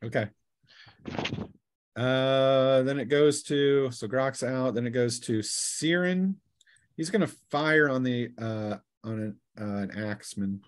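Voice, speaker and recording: A man's voice: medium pitch, slow pace, no echo. A man acting as game master, narrating tabletop combat casually and teasing his players.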